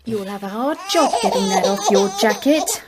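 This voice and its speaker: Curt, weary, high-voiced mum. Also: female voice, sing-song, normal speed, very noisy. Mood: irritated. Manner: curt, guarded. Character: weary, suspicious working-class mother of young children. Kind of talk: naturalistic radio drama acting.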